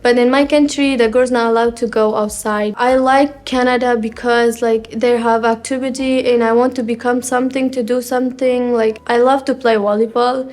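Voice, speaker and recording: Teenage girl, higher voice, halting learner English, short pauses, faint music behind. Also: level tone, darkish tone. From Afghanistan. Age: teens. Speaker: student. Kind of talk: personal testimony.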